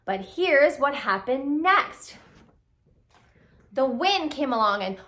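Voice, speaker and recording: A woman telling a children's puppet story in an expressive way, speaking directly to young listeners with questions and exclamations. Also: high-pitched, slow pace. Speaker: storyteller, puppet show reader.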